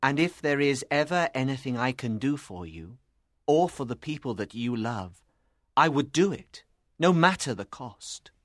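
A woman's voice: rumbling low, animated, normal speed, no echo, studio quality, voice pushed down. A woman voicing a dissolute, self-loathing young man, humbly and sadly confessing hopeless love in a storybook reading. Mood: earnest, lovesick despair. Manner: sad, humble, earnest.

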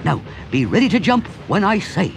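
Guy playing a bluff train driver, hearty, a bit higher than his own voice, soft music under. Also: sing-song, normal speed, creaky, full character voice. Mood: urgent. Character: kindly stern middle-aged male engine driver.

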